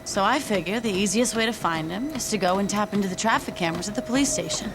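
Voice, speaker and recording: A woman's voice: mid-range voice, expressive, fast, close to an everyday voice, rough recording. A woman voicing a sarcastic pushy gang girl. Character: sarcastic young female gang member, pushy. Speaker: gang lieutenant. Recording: game audio with background noise.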